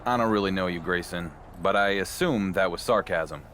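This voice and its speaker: A man's voice: low-pitched, normal speed, a bit bright, a little hiss or hum. A male actor playing a self-assured, guarded champion wrestler in a video game, wry and needling. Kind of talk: video game cutscene dialogue.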